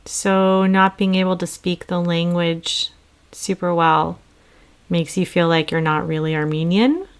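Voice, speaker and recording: A middle-aged woman hosting a podcast, talking candidly and gently with her daughter, apologetic and coaxing. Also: lowish voice, fairly even intonation, measured pace, clear, resonant tone. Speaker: podcast host and mother.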